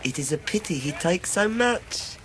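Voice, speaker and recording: An actor with an English accent playing an oily, falsely humble young clerk in a radio drama, ingratiating and sly. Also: male voice, high-pitched, lively intonation, moderate pace, fairly bright tone. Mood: sly probing. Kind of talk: 1990s British radio drama acting. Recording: noisy radio drama recording.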